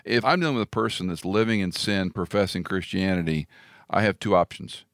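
A man's voice: low voice, a little fast, fairly bright tone, no room sound, clean studio sound. A man hosting a theology talk show, speaking emphatically and building his points with rhetorical repetition. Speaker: radio talk show host. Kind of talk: conversational theology discussion.

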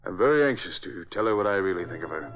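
An actor playing an irascible, haughty older father and former diplomat in a 1940s radio drama, speaking in a gruff, imperious, declamatory way. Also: low-pitched, lively intonation, quick pace, phone-quality sound, soft background music. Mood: irritated, commanding. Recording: band-limited vintage 1940s radio recording.

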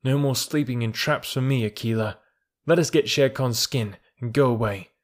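A man's voice: medium pitch, normal speed, lightly acted. A man as a proud, scornful wild herdsboy. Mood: calm, defiant. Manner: assured, archaic wording.

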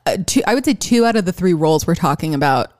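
A woman co-hosting a podcast in quick, animated casual chat, swearing now and then. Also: fairly low pitch. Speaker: podcast co-host.

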